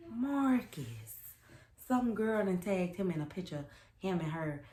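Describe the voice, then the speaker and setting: A woman's voice: medium pitch, expressive, unhurried, darkish tone. A young woman telling a personal story in a casual, sassy, emphatic way, repeating phrases to drive her point home. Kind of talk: casual storytime chat to camera. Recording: roomy echo.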